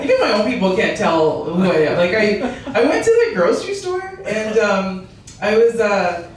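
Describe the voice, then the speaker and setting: A young woman doing a stand-up comedy set in a barbershop, chatty, laughing often and restarting her sentences. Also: mid-range voice. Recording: echoey room from far off, noisy.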